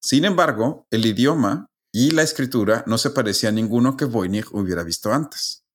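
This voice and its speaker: A man's voice: mid-range voice, lively intonation, normal speed. A man with a Mexican accent hosting a podcast, telling a story conversationally with asides and checking tag questions. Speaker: podcast host. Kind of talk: casual storytelling with friends.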